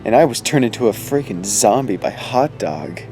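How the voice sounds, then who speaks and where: A man's voice: on the low side, lively intonation, normal speed, warm tone. Uneasy male sidekick, theatrical, widely varied intonation, music underneath, audio drama. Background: music behind the voice.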